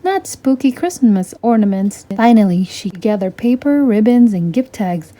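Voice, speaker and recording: Mellow-voiced woman reading a storybook. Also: medium pitch, lively intonation, moderate pace. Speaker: storytime read-aloud host. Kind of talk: children's storybook narration.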